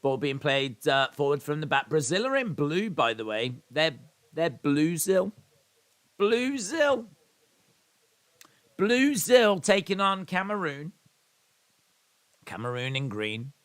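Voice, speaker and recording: A man's voice: on the high side, sing-song, takes it slowly, pauses often, a bit bright. A man in his thirties from England, hosting a casual live watch-along stream, chatting, rambling and reading out viewer comments with self-deprecating asides.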